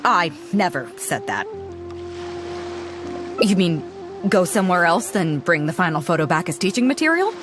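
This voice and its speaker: A woman's voice: on the low side, very animated, normal speed, pauses often, over music. An actress voicing a bold, teasing, confident female ship captain in a game cutscene. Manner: teasing, self-assured, laughs easily.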